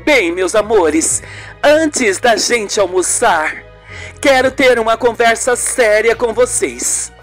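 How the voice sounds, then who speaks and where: An anguished aunt in a radio soap, acted by a woman. She speaks high and slowly, tearful and easily startled, as she guards a family secret. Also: animated, soft background music. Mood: affectionate, serious. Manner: startled exclamations, tearful delivery. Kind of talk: amateur radio soap acting.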